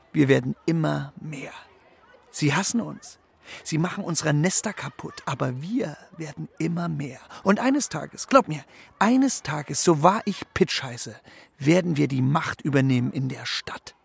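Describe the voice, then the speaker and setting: A man's voice: on the high side, animated, a little slow, creaky, slightly rough, heavily acted. An actor playing a brash, streetwise, embittered male street pigeon in a children's radio play, with slangy, bossy talk. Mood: conspiratorial, defiant.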